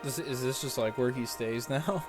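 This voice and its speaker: A man's voice: medium pitch, moderate pace. A man giving casual playthrough commentary as a gaming video creator, informal, rambling and sweary. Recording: game music behind voice.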